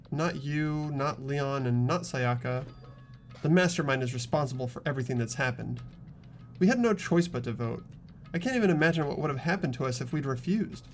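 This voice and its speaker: A man acting an earnest, resolute high school boy carrying grief, speaking emphatically in an amateur game dub. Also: animated, normal speed, soft background music, natural voice. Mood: firm, consoling.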